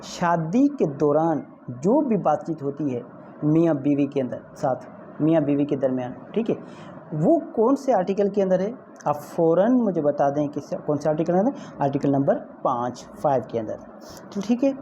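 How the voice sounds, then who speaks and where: A man's voice: fairly high pitch, very animated, moderate pace, warm tone. A man with a Pakistani accent giving an informal law lecture, repeating points and often checking that students follow. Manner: repetitive explaining with frequent comprehension checks. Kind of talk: informal exam-prep lecture mixing in English legal terms. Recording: muffled lo-fi audio with faint background noise.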